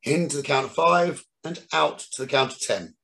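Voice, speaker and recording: A man leading a guided meditation as the teacher, giving soothing instructions in short phrases with pauses between them. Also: fairly high pitch, creaky, pushed and bright. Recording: roomy echo, distant from the mic.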